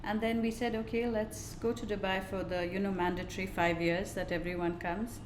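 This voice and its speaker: A woman's voice: medium pitch, steady tone, moderate pace, noisy background. Chatty woman from India, roomy sound. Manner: chatty, laughs often. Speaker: guest. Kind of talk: podcast interview. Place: room in Dubai. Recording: roomy echo.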